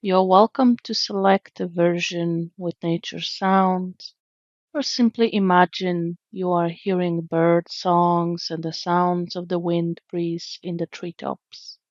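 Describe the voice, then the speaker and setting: Calm lady doing guided meditation, soothing, lots of pauses, bit muffled recording, no room echo. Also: on the low side. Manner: soothing, gentle, unhurried with long pauses.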